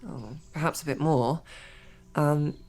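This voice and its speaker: Woman voicing a wry woman knight, low voice, slow unhurried delivery, formal and dry, audio roleplay. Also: a little mellow, heavily acted. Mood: dry, sardonic. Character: sardonic young woman knight, captive but composed. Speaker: voice actor.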